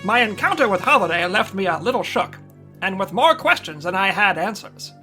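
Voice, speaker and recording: A wry insurance investigator from a 1940s-style radio drama, with a bright, forceful voice. His patter is clipped and hardboiled, and his pitch swings widely. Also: big pitch swings, brisk, soft background music, full character voice. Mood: dry narration. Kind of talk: old-time radio detective drama acting.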